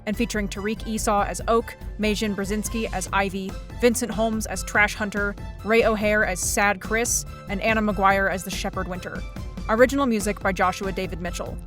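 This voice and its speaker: A woman's voice: medium pitch, fairly even intonation, normal speed, a bit bright. A woman announcer reading scripted credits for a podcast. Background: music.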